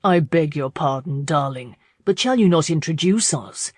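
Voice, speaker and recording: Woman voicing a genial, lower-pitched gallant marquis. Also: animated, moderate pace, breathy edge, dry recording, studio quality. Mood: charming, playful. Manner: gallant, formal, playful. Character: charming, genial tall aristocratic husband, a marquis.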